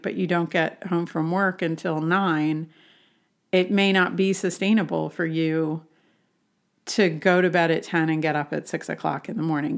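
A woman talking as a health coach, explaining things conversationally with questions and examples. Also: fairly low pitch.

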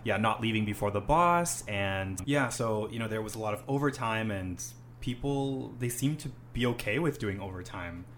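A man's voice: on the low side, lively intonation, normal speed, few pauses, a bit bright, slight background noise. A young man hosting a casual chat, rambling with many "um"s and "you know"s. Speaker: host, English teacher.

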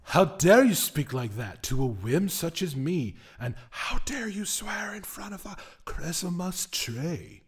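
A man's voice: a little high, very animated, a little slow, husky, voice pushed up, heavily acted. A man voicing a pompous, deranged male Christmas ghost who rants and lectures in rhyme. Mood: indignant.

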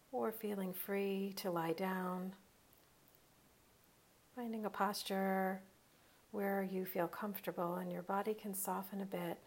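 A woman leading a guided meditation in a soothing, unhurried way, leaving long pauses between short phrases. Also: mid-range voice, darkish tone.

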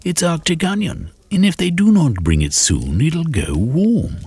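A man voicing a fussy, impatient gentleman who keeps checking the time. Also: fairly high pitch, sing-song, measured pace, slightly rough, voice pushed up, heavily acted. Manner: prim, impatient. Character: fussy, impatient gentleman club member, male.

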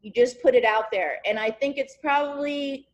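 A woman's voice: high-pitched, normal speed, highs cut off. Rambling, encouraging woman on a video call. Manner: rambling, encouraging, searches for words. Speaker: podcast host. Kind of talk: casual chat over a video call.

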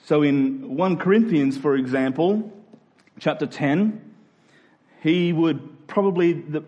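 A man's voice: on the high side, a little mellow. A man preaching a conversational sermon, emphatic and rhetorical, often voicing imagined objections.

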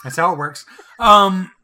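A man with a Southern US accent chats on a podcast, wry and quick to joke. His voice sits somewhat high and sounds bright and forceful. He laughs easily between remarks. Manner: joking, wry, laughs often. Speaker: podcast co-host. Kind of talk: casual chat.

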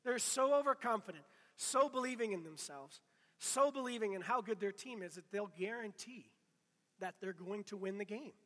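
A hoarse middle-aged man preaching in a loose, conversational way, stumbling and restarting as he goes. His voice sits high and bright for a man. It carries a long reverb from a church hall. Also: moderate pace. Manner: conversational, self-deprecating, many restarts. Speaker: pastor. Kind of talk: casual sermon.

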